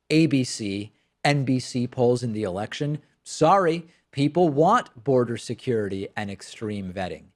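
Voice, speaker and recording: A man's voice: medium pitch, darkish tone. A man hosting a political commentary show, speaking English as a second language after growing up with Spanish. He talks in a sardonic, incredulous, emphatic way and also reads a sponsor ad. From Spanish-speaking country, raised in the United States from childhood.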